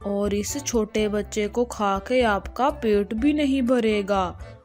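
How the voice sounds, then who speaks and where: Lady doing a crafty cartoon crow husband, wheedling and whiny, slow talk, music underneath. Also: medium pitch, a little mellow, natural voice. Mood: complaining, crafty. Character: scheming, persuasive male crow. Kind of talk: children's cartoon voice acting.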